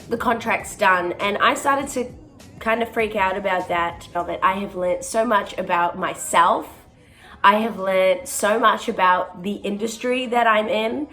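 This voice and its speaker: A young woman, a touring musical theatre performer talking earnestly to camera in a casual vlog, often pausing on "um". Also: medium pitch, sharp tone. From born in South Africa, raised in New Zealand. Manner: earnest and chatty, with frequent ums.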